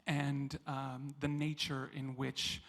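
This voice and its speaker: A mid-pitched male voice answering an interview at a calm, measured pace. He speaks reflectively, taking his time as he lays out his ideas. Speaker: singer with opera training.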